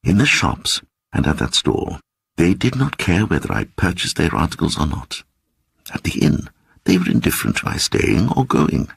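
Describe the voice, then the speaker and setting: An actor voicing a timid little man who earnestly and softly recounts an eerie adventure in a dramatic audiobook reading. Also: extremely low pitch, normal speed, vocal fry, husky, no echo, heavily acted. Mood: absorbed, uneasy. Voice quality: soft voice. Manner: hesitant, earnest, growing excited.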